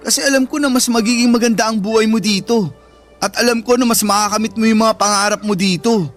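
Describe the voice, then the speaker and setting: A fiercely protective older brother, played by a man, pleading and guilt-ridden in a very high-pitched voice. His intense radio drama delivery sits over music. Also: steady tone, fast, close to an everyday voice. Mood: pained. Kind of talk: Tagalog radio drama acting.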